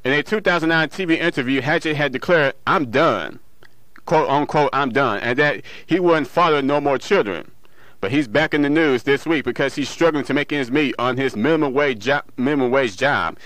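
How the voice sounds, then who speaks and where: A man's voice: medium pitch, moderate pace, a bit bright, no echo. A man working as a radio newsreader and DJ, reading in a local broadcast style with occasional slips and self-corrections. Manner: stumbles and corrects himself while reading. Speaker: radio newsreader and music show DJ. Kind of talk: local radio news, sports and weather reading. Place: radio studio.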